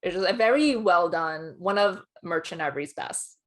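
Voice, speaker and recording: A woman's voice: lowish voice, animated, moderate pace. A woman co-hosting a casual film discussion, giving her opinions bluntly in an informal way. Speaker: co-host, film awards expert. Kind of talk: casual chat, film commentary.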